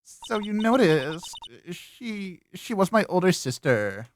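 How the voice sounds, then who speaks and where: A woman's voice: on the low side, very animated, slow, noisy background, pitched above the performer's own voice. A performer voicing a ditzy young valley-girl woman who drawls defensively in a video game dub. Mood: hesitant. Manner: filler-heavy valley-girl drawl, peppered with "like".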